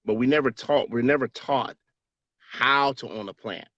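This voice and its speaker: A man's voice: mid-range voice, normal speed, fairly bright tone, no room sound, dull audio. A man answering interview questions as a business association president, earnest and persuasive, repeating words as he stresses a point.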